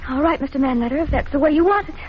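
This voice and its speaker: An actress playing a brisk young woman in a 1940s radio mystery. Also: high-pitched, lively intonation. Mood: firm. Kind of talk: 1940s radio drama acting. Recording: muffled 1940s radio recording, loud noise.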